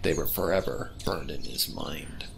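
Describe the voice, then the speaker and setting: A man giving a dramatic, scripted narration of a dark mystery story in a measured, grave manner. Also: rumbling low, vocal fry, very noisy. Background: music under the voice.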